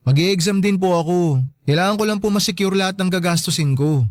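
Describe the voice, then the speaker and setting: A man's voice: sits high, a little fast, no room sound, studio quality, close to an everyday voice. A man playing a meek, polite young working son in a radio drama, shy and submissive in manner. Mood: meek, patient. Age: young adult. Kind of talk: Filipino radio drama acting.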